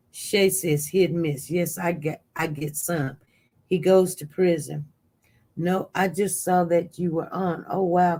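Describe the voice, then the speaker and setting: A chatty live-stream host talks casually through the news and reads viewer comments aloud. The voice keeps leaping up high and loud when reacting, then dropping back to a low mutter. The talk rambles, with many restarts. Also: vocal fry. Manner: animated, voice jumps up loud when reacting to chat. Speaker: livestream news host reading viewer chat.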